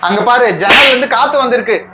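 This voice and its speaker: Woman doing a lowered unbothered dad, roomy sound, loud music. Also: fast, heavy background noise, lightly acted. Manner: matter-of-fact, unbothered. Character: calm dismissive father.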